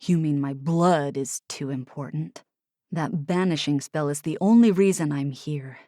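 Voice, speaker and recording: A restless young soldier full of guilt, as a woman puts him on for an audiobook, his voice dropped low and subdued. He talks in a frustrated, self-blaming way, and the reading sounds dry and close with no room around it. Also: a little slow, mellow, no room sound. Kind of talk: audiobook character voicing.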